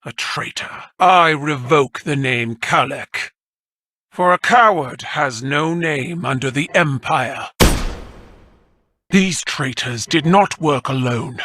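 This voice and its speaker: A man's voice: a little high, slow pace, pushed and bright, full character voice. A man voicing a proud, commanding alien warlord in a video game, speaking in solemn, imperious declamation. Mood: stern condemnation, then imperious decree. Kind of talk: dramatic video game voice acting.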